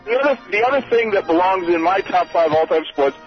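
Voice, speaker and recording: Chicago guy calling a radio show, higher voice, rambly, lots of uh and you know, dull sound. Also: lively intonation, fast talker, soft background music. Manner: rambling, hesitant, joking. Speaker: caller. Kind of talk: phone-in chat. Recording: muffled radio broadcast of a phone call.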